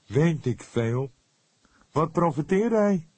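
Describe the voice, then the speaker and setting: A man plays a mocking police photographer in a crime story. He pushes his voice up high and loud, sounding brash and cynical. His scorn comes across as offhand, with a husky edge. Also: lively intonation, slow pace, no echo, heavily acted. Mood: scornful.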